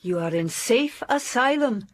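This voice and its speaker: A woman's voice: on the high side, lively intonation. An actress playing a kindly, composed older English godmother in a literary audio drama, with a soothing manner. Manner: soothing, unhurried.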